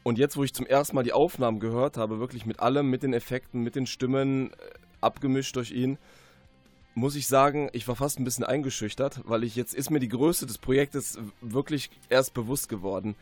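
A man's voice: mid-range voice, fairly even intonation, fairly quick, a little mellow, soft background music. A man speaking as a guest author in a conversational radio interview, earnest, reflective and emphatic.

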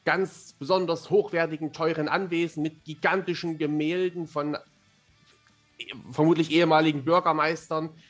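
A man with a somewhat high voice talks casually as a podcast guest. He comes across as chatty and self-deprecating, with faint music in the recording. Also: little pitch movement, moderate pace. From Germany. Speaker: interview guest, fantasy author and amateur filmmaker.

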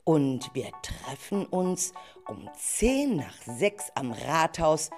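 A woman's voice: sits low, sing-song, extremely slow, darkish tone, soft background music, heavily acted. A woman playing an enthusiastic leader of a group of schoolchildren in an amateur radio play, talking to them in simple, encouraging phrases. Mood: approving.

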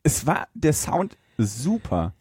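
A man's voice: a little high, huge pitch swings, slow pace, a little mellow, slightly rough, dry recording, studio quality. A man co-hosting a podcast, chatting informally and sharing his opinions. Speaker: podcast co-host. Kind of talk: casual podcast discussion.

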